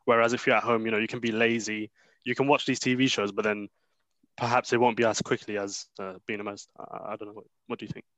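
A young man leading a casual discussion, speaking in a measured way and asking questions. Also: on the low side, flat delivery, fairly bright tone, no echo, low-quality recording. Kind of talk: casual chat.

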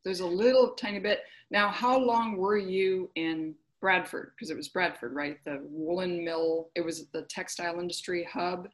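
A woman's voice: fairly low pitch, moderate pace, hardly any pauses. A woman hosting a podcast, chatting casually with a guest and laughing often. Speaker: podcast host. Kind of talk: casual interview chat.

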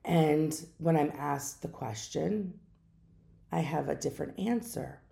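Hoarse, gravelly-voiced woman podcaster. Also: mellow. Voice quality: naturally deep voice. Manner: unhurried, musing, with hesitations. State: hoarse from a lingering cough. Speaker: podcast host. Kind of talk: reflective solo podcast talk.

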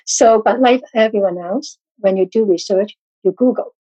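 A woman whose first language is Chinese speaks in a halting, casual interview manner, restarting her sentences and leaning on fillers. Her voice is mellow and warm, and it reaches us through dull, lo-fi audio. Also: mid-range voice. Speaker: guest author.

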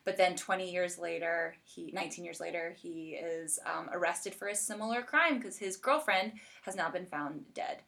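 A woman's voice: fairly low pitch, moderate pace, vocal fry, few pauses, a bit bright. A woman hosting a podcast, chatting casually and informally about television like a keen fan. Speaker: podcast host interviewing a guest. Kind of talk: casual chat about TV shows.

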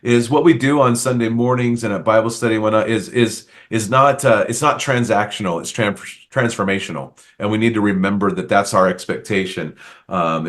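A man's voice: mid-range voice, a bit bright. A middle-aged man, a pastor, interviewing a fellow pastor in an earnest, encouraging way, often restarting his sentences. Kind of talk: conversational interview.

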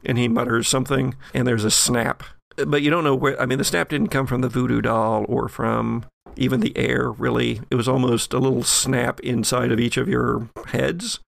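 A man with a heavily creaky, gravelly voice tells what his character does in a tabletop game. His delivery is improvised and conversational, at a middling pitch. The sound is very dry. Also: level tone, moderate pace, few pauses, no room sound. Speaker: tabletop role-playing game player. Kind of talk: conversational improvised storytelling, narrating a character's actions.

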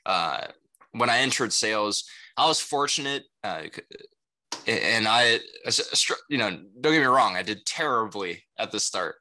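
Interview guest: young man, Mandarin native speaker, sharp cutting tone, lowish register, loose conversational delivery peppered with tag questions. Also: expressive, measured pace. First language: Mandarin Chinese. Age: young adult. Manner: rambling, frequent "you know" and "right?" tags. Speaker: interview guest, salesperson and rapper. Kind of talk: casual conversational interview answers.

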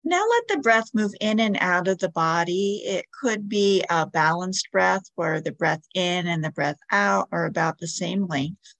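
Woman, meditation guide, very slow delivery, creaky vocal fry, soothing gentle instructions, long pauses. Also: lowish voice, animated, no room sound. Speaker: podcast host, meditation guide.

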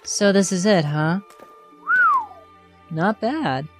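A woman's voice: lowish voice, animated, extremely slow, over loud music. Woman playing a sarcastic boy sword master. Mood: sardonic. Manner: dry, sarcastic and curt. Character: young arrogant male sword master, sardonic.

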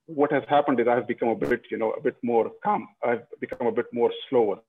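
A man's voice: mid-range voice, fast, slightly rough. A man from Pakistan, a guest in a casual interview, speaking reflectively with many restarts and "you know"s. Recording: tinny phone-like line.

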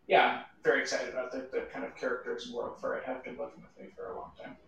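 A man's voice: mid-range voice, steady tone, quick pace, vocal fry, a bit bright, muffled recording. A man chatting casually as a podcast creator on a panel, recorded roomy and distant. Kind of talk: casual chat. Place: echoing room, away from the mic. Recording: roomy, distant mic with background noise.

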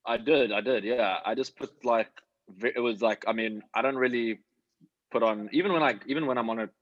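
A man chatting casually as an actor giving tips, rambling and halting as he thinks aloud. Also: medium pitch, fairly bright tone, no echo, lo-fi sound. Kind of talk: casual chat.

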